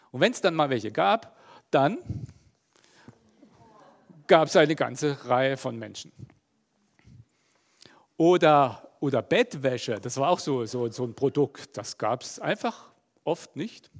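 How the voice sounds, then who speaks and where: A middle-aged man from East Germany preaching a relaxed, conversational sermon, chatty and loose with plenty of fillers. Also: medium pitch, measured pace, gravelly, long pauses.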